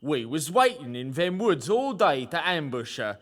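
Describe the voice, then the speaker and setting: An actor playing a blunt, bargaining leader of grimy mercenaries, with rough rustic speech. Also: male voice, a little high, very animated, unhurried.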